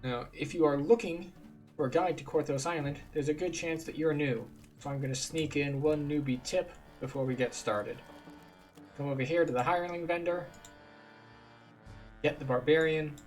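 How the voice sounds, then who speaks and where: A man's voice: on the high side, steady tone, moderate pace, darkish tone, faint music behind. A man giving casual, explanatory walkthrough commentary as a video game guide. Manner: informal, explanatory. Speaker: gaming guide host.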